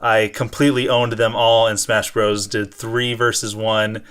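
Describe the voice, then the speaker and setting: A man's voice: fairly low pitch, flat delivery, measured pace, a bit bright, clear, resonant tone. An American man co-hosting a casual gaming show, answering questions in a loose, self-correcting, rambling way. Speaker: co-host of a gaming show and school teacher. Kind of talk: casual unscripted chat, answering viewer questions.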